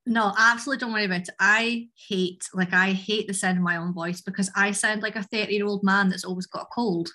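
Woman host, bright voice, easy-going and reassuring interviewer. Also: medium pitch, fairly even intonation, fairly quick. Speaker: podcast host. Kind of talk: casual podcast chat.

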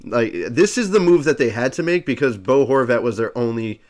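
A man's voice: medium pitch, fairly quick, a little mellow. A man co-hosting a casual sports podcast, congested and stuffy, rambling with many fillers and restarts. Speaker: podcast co-host.

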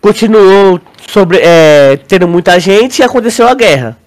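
A man's voice: high voice, animated, a little slow. A Brazilian man co-hosting a podcast, theorizing in a casual, slangy and rambling way. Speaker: podcast co-host. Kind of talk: casual chat, theorizing about a series.

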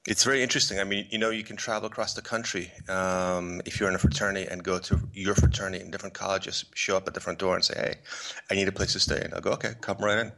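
A man's voice: low-pitched, fairly even intonation, quick pace, vocal fry, sharp tone. A middle-aged man from Poland, raised on the American East Coast, speaking as a podcast guest in a loose, rambling way with lots of fillers and restarts. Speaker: podcast guest, filmmaker and photographer. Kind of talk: relaxed conversational interview.

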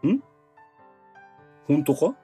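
A gruff middle-aged detective in a comical hard-boiled audio drama. He grumbles in short, blunt lines, yet his pitch moves in a lively way. Soft music plays faintly behind him. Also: drawn-out words, exaggerated voice. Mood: grumbling, wary. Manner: curt, blunt. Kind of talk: Japanese audio drama acting.

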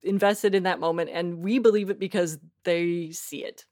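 A woman's voice: fairly low pitch, steady tone, moderate pace, a little mellow. A woman talking casually and enthusiastically as a guest in an interview. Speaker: interview guest, podcast writer-producer.

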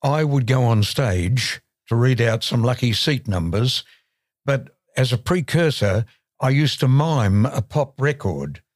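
A man's voice: medium pitch, animated, a little slow, no echo, studio quality. Wry older man. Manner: playful and wry, prompts others with questions. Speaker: podcast co-host. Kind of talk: casual chat.

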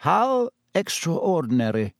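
A wise old storybook headmaster, played by a male narrator, courteous and wryly amused. His pitch is raised and moves in lively, unhurried turns. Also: no echo, clean studio sound, exaggerated voice.

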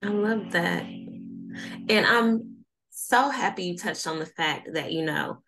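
A woman in her thirties chats casually and tells her stories with energy, slipping into acted-out quotes. Her voice sits on the lower side. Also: takes it slowly, slight background noise, rough recording. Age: 30s. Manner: lively storyteller, acts out quoted voices. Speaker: life coach. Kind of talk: casual podcast chat.

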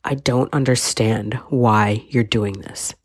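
A woman's voice: rumbling low, fairly even intonation, slow pace, slightly rough, no echo, studio quality, voice pushed down, heavily acted. A woman reading an audiobook, voicing a spoiled young adult son who pleads and protests defensively.

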